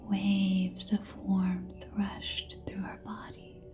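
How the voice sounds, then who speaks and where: A woman telling a story in a soft ASMR whisper, close to the microphone from ear to ear, leaving long pauses between short phrases. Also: mid-range voice, completely flat delivery, dull audio, over music. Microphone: close binaural microphone, ear to ear.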